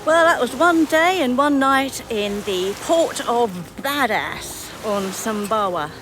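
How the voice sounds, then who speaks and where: A woman's voice: expressive, takes it slowly. A woman hosting a sailing vlog, chatting casually in an upbeat, appreciative way to the camera. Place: outdoors on a sailboat. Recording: noisy outdoor boat recording, engine rumble.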